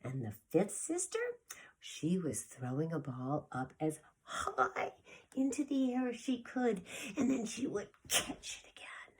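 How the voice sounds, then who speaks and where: A woman preschool teacher reading a story aloud to young children, expressive and deliberate, with a breathy voice that often drops toward a whisper. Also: fairly low pitch. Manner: addresses children directly, expressive with gasps and sound effects.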